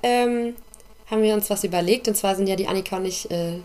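A woman's voice: medium pitch, quick pace, a little mellow. A woman co-hosting a casual podcast, upbeat, leading the talk with short affirmations. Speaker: podcast co-host.

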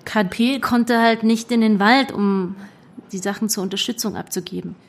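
A woman's voice: medium pitch, steady tone, normal speed, lightly acted. A woman playing a young undercover activist in a naturalistic audio drama, speaking in a colloquial, guarded and hesitant way.

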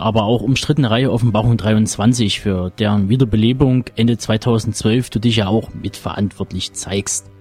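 Man, average pitch, interviewer, scripted read-out questions, soft music bed. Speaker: interviewer, show host.

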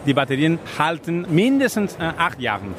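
A man with a Spanish accent, a carmaker representative speaking halting German with many fillers in an interview at a trade fair stand. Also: a little high, big pitch swings, fairly bright tone, slight background noise. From Spain.

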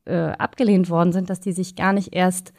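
A woman's voice: lowish voice, little pitch movement, moderate pace, darkish tone, dry recording. Woman explaining in detail, dry close sound. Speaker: expert guest. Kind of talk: explanatory interview talk.

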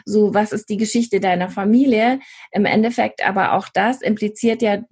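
A woman's voice: medium pitch, fairly even intonation, moderate pace, a little mellow, slightly rough. A woman co-hosting a podcast, chatting casually about books and hesitating often with fillers and restarts. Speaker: podcast co-host. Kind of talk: casual book chat.

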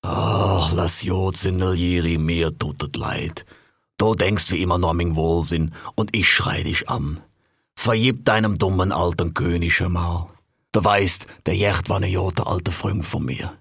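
A man plays a blustery old monkey king with a thick lilt, a very deep, husky yet bright voice that rambles on. His talk is dotted with "hör mal", recorded dry and close. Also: steady tone, a little slow, no echo, heavily acted. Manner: dialect-heavy rambling, says "hör mal" often.

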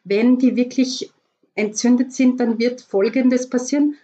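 A woman with an Austrian accent, a voice coach giving calm, explanatory tips in a podcast interview. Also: on the high side, measured pace, highs cut off. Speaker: voice coach guest.

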